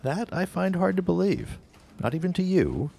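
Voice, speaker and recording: A man's voice: high-pitched, sing-song, moderate pace, vocal fry, warm tone, heavily acted. A man acting a polite, persistent newspaper city editor in a 1910s period audio drama, speaking in a formal, courteous, probing way. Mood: probing, needling.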